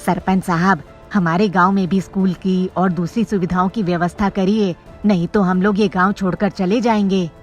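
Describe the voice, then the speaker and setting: A woman playing an earnest, deliberate villager in a cartoon moral story. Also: on the low side, fairly even intonation, soft background music, pitched below the performer's own voice, lightly acted. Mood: pleading.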